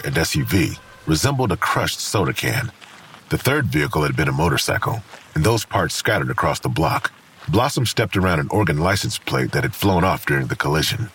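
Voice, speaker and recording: A man with an American accent narrating a crime audio drama as a grave, detached storyteller in a measured way. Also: very deep voice, a bit bright, a little hiss or hum, full character voice. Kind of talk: scripted noir crime narration. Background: faint music.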